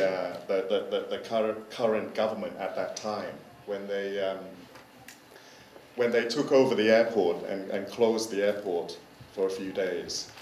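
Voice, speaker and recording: A man whose first language is Dutch, giving a hesitant conference talk as a curator, often repeating words and pausing with fillers. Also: fairly low pitch. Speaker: curator giving a forum presentation. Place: forum lecture hall. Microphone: handheld microphone. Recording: roomy hall sound from a distant mic, faint noise.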